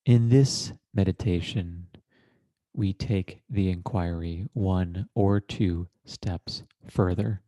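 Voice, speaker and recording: A man leading a guided meditation in a measured, deliberate manner, with formal, literary phrasing and long pauses between short phrases. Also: low voice, dark tone, no room sound. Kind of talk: guided meditation, slow contemplative narration.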